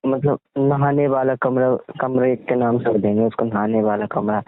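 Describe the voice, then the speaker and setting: A man's voice: medium pitch, fairly even intonation, moderate pace, dry recording. A young man chatting casually and intimately with his girlfriend on a phone call, in a playful, teasing way. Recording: muffled, band-limited phone call recording.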